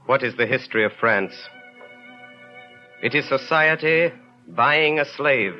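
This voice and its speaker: An actor playing a solemn, brooding storyteller in a 1930s radio drama, narrating in a grave, deliberate, literary way. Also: male voice, mid-range voice, lively intonation, close to an everyday voice. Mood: mournful, grave. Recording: dull 1930s radio recording. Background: faint music.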